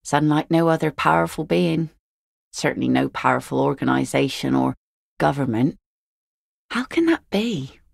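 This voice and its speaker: A woman voicing an easygoing, philosophical seafaring mother in a video game, with a wry, gently teasing, folksy manner. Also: low voice, lively intonation, moderate pace, creaky, no room sound, studio quality, full character voice. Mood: wistful, musing. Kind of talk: naturalistic video game dialogue acting.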